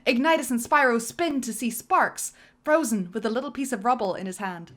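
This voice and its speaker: A woman's voice: a little high, fairly quick. A woman narrating script directions in a dramatic reading, building up to the action beats, with music underneath.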